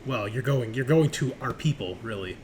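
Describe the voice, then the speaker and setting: Chatty man hosting, over clear background noise. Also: mid-range voice, animated, normal speed, darkish tone. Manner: chatty, informal, jokey. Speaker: host and game master of a tabletop roleplaying show. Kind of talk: casual podcast introduction and banter.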